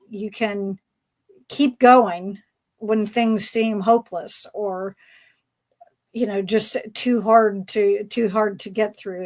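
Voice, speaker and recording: Halting woman moderating a fan discussion. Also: mid-range voice. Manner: halting, rambling, conversational. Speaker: panel moderator. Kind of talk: fan panel discussion, posing questions to panelists.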